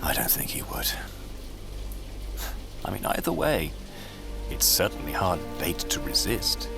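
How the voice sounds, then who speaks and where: An actor playing a scheming, grandiose conspirator in a scripted audio drama, speaking in silky speeches. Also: male voice, low voice, wildly swooping pitch, measured pace, vocal fry, music in the background, exaggerated voice. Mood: exultant. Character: scheming, theatrical male political conspirator relishing intrigue.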